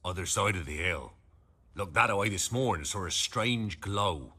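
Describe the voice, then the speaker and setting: Bright, swooping rustic innkeeper. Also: male voice, sits low, expressive, moderate pace, slightly rough, exaggerated voice. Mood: wary, subdued. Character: anxious rustic male innkeeper in a war-torn village. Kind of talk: video game acting.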